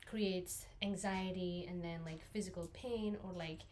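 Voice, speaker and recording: A woman's voice: fairly low pitch, fairly even intonation, measured pace, a little mellow, slight background noise. A young woman from Nepal, co-hosting a casual podcast chat, full of "like" and "you know" fillers. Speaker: podcast co-host.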